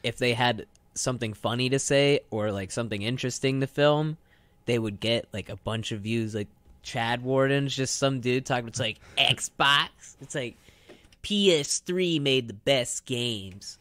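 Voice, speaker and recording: A man's voice: mid-range voice, a little slow, a bit bright, dry recording. A young man co-hosting a radio show in casual, rambling chat, full of slangy asides and mock quotes.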